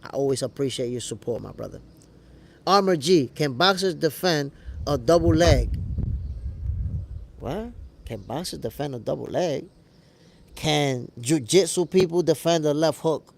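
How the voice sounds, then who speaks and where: An American man with a gravelly voice hosting a casual livestream, talking to viewers in an animated, slangy way. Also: a little high, unhurried, pauses often, a little hiss or hum. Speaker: host of a boxing show livestream, reading viewer comments. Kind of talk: casual livestream chat with audience.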